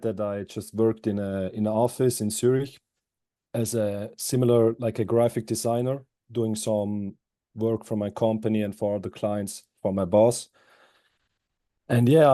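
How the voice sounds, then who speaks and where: Man, twenties, from switzerland, low mellow voice, dry close sound, halting casual answers. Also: dry recording. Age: 20s. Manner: halting, loose phrasing with frequent fillers and restarts. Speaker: guest, former student.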